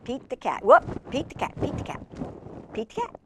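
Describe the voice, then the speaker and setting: A woman hosting children's storytime, reading aloud and chatting in an expressive, gushing manner with fond asides. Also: fairly high pitch, moderate pace, noisy background. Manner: gushing, expressive, exclaiming over pictures.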